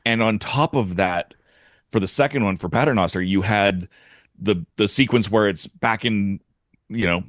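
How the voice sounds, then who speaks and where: A man's voice: fairly low pitch, moderate pace, fairly bright tone, dry recording, clean studio sound. A man on a podcast panel talking casually through his review.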